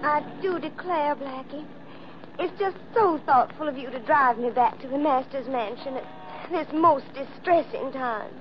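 An actress playing a sweet, gushing, clinging Southern belle with a Georgia accent, coy, in 1940s radio style. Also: female voice, sits high, unhurried. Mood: coy, grateful. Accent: American South, Georgia. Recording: muffled, noisy old radio recording.

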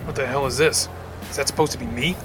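A man's voice: medium pitch, fairly even intonation, fast, music in the background, natural voice. A man playing a cool, sardonic male supervillain in an audio drama, with a dry, joking delivery. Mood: wry. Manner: dry, wisecracking.

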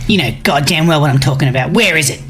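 A woman's voice: low-pitched, expressive, quick pace, noisy background. A woman playing a menacing, foul-mouthed, violent bully in an audio comedy sketch, curt and threatening.

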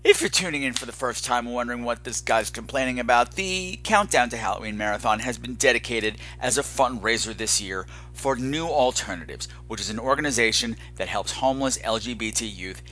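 Dramatic, bright-voiced man podcast host, weary. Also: medium pitch, brisk, hardly any pauses, a little hiss or hum. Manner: dramatic, emphatic, exasperated. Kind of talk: candid unscripted monologue to listeners.

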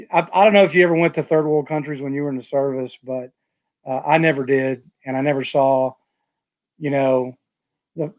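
A man's voice: on the high side, no room sound, muffled recording. Chatty male host, dry close sound. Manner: rambling, many fillers and restarts. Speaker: podcast host. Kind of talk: casual interview chat.

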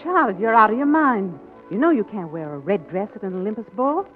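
An actress playing an earnest, anxious Southern aunt in a 1940s radio drama, speaking with dramatic gravity. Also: female voice, medium pitch, very animated, fairly quick, close to an everyday voice. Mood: scolding. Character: anxious Southern aunt, grave and reflective narrator. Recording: tinny vintage radio, orchestral music behind.

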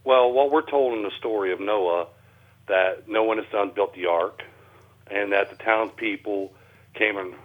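An American man answering as an interview guest, plain-spoken and halting, over a narrow telephone line. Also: lowish voice. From Cincinnati, Ohio, USA. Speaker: interview guest, amateur researcher.

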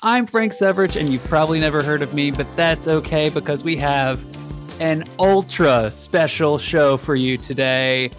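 A man's voice: a little high, moderate pace, over music. Jokey man hosting a podcast. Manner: playful, jokey emcee. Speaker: podcast host. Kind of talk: casual sports podcast chat.

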